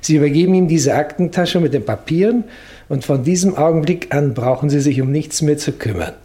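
An actor playing a grave, cautious state secretary with formal, precise diction, in 1960s German radio play style. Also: male voice, on the high side, fairly quick, warm tone, close to an everyday voice. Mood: stern, instructing. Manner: formal, precise, urgent, hesitant at times.

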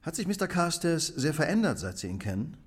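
Sharp ironic detective, bit high voice, probing, radio play. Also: male voice, sing-song, breathy edge. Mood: probing, focused. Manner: brisk, probing, dryly ironic. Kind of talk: German radio crime drama acting.

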